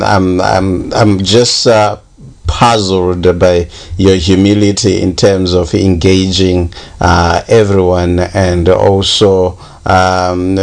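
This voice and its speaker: Slow, hesitant man hosting. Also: low-pitched, a little mellow. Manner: slow, with many hesitant "uh" fillers. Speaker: radio host. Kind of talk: radio interview.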